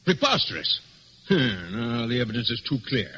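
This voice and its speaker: An actor playing a stuffy, gullible middle-aged police inspector with old-fashioned phrasing, in old-time radio drama style. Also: male voice, mid-range voice, big pitch swings, normal speed, bright, forceful tone, husky, exaggerated voice. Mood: scornful. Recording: dull, noisy vintage radio recording.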